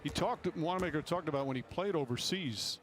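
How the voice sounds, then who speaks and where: A man's voice: a little high, a little fast. A man giving basketball commentary with player stories, as a play-by-play announcer on a game broadcast. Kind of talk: sports commentary with background stories. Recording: noisy game broadcast with court sounds.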